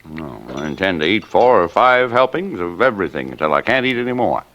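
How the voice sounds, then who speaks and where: A man's voice: low-pitched, sing-song, a bit bright, lo-fi sound. An actor playing a middle-aged father in an old radio drama, genial and mock-formal, firm when pestered. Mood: contented, teasing. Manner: measured, jocular, mock-formal, sternly paternal. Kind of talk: 1940s-50s radio drama acting.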